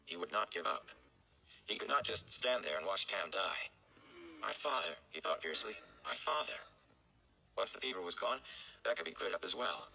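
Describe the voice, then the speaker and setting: A man in his thirties reads fiction aloud dramatically. His voice is low and gravelly, with a very bright, forceful tone. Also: animated, a little fast, low-quality recording. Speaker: amateur story reader. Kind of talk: dramatic audiobook-style narration. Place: indoors, room.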